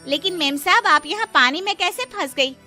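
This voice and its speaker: A woman's voice: very high-pitched, lively intonation, normal speed, bright, forceful tone, faint music behind, pitched above the performer's own voice, exaggerated voice. A woman playing a humble, pleading poor maidservant wife in a dramatized story, speaking deferentially. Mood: surprised.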